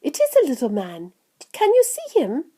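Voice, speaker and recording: A gentle, earnest little boy from a children's storybook, voiced by a woman. He speaks with eager, wide-eyed wonder. The voice is high and rises and falls freely. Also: very animated, slow pace, exaggerated voice. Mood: eager excitement.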